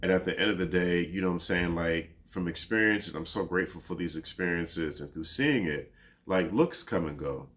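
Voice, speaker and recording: A man in his thirties with a deep voice, talking through a personal reflective monologue in a laid-back, rambling way full of fillers. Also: moderate pace, fairly bright tone. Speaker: podcast host.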